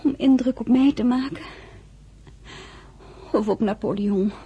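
Very husky, mellow female narrator. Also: on the high side, measured pace, highs cut off. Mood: pitying, distressed. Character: young woman narrating her life, sorrowful, pitying. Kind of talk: dramatic first-person narration.

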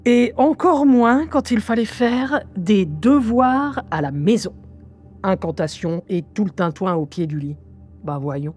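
A young woman played by an actress confides her story as if leafing through an old diary. Her tone is mellow and her pace leisurely, with dry, conversational asides about the voices in her head. Faint music sits behind this radio drama monologue. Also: mid-range voice, lively intonation, unhurried, lightly acted. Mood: wry reminiscing. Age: young adult.

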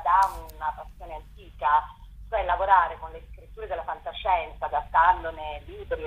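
A woman festival curator, a guest on a radio interview, explaining her work at length in a thoughtful way over a phone line. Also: on the low side. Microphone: telephone.

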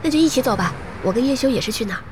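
A woman's voice: on the high side, lively intonation, racing, a little hiss or hum, natural voice. A woman playing a friendly, polite young woman in a radio drama. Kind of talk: Chinese radio drama acting.

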